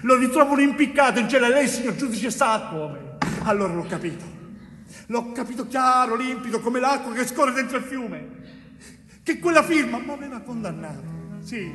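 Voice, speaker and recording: Anguished young prisoner, high-voiced, echoing hall. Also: male voice, lively intonation, a bit bright. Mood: bitter, desperate. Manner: dramatic, halting short phrases with emphatic repetition. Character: young male bricklayer wrongly jailed, anguished and pleading. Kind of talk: theatrical monologue, stage narration. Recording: reverberant echoing hall, music underneath.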